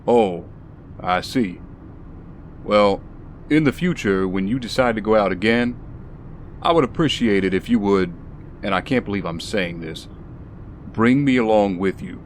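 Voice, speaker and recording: A weary ex-soldier bodyguard acted by a man in an audio drama. He speaks fairly low, dry and straight, with faint vehicle noise behind him. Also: animated, measured pace, long pauses. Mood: exasperated, dry.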